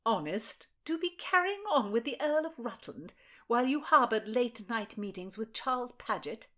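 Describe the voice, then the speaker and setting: A woman voicing a cold, menacing noblewoman interrogator who speaks slowly and quietly. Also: animated. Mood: accusing. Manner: slow, low, icy and controlled.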